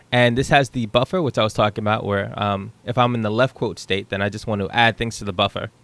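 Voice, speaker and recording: A man's voice: mid-range voice, no echo. Young man explaining code, dry sound. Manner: relaxed, thinking aloud with ums and rights. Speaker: programming tutor. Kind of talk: casual screen-share code walkthrough. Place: small room at home.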